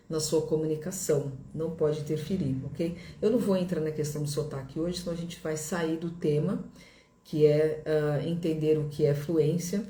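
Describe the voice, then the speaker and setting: A woman's voice: low voice, mellow. A middle-aged woman from Brazil with a Brazilian Portuguese accent, an English teacher giving a video lesson in a reassuring, repetitive way that keeps checking in with the viewer. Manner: reassuring, repeats herself, checks in with "ok?". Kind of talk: direct-to-camera video lesson. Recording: echoey room.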